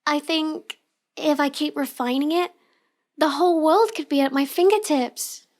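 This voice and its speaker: Shy young villainess, played by a woman: high-pitched, unhurried, apologetic and sweetly helpless, for intimate audio roleplay. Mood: sweet, guarded. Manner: halting, apologetic, soft-spoken and sweet.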